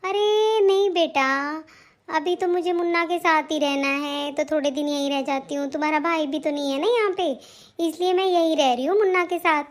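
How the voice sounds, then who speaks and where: A woman's voice: very high-pitched, steady tone, moderate pace. A woman voicing a long-suffering elderly mother-in-law in a family cartoon drama, meek and complaining. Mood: gentle, reassuring. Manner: plaintive, complaining, placating.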